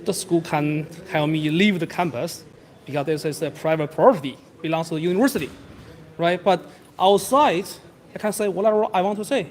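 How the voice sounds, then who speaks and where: A young man with a high voice answers questions outdoors on a campus. He speaks in halting, earnest bursts, restarting his sentences often. He grew up abroad. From outside Canada. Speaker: interviewee. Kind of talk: street interview on campus.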